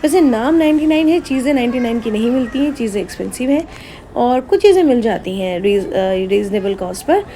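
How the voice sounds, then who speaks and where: A woman's voice: on the high side, lively intonation, brisk, warm tone. A woman narrating a family vlog, mixing English phrases into her speech. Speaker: family vlogger. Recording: background noise behind voice.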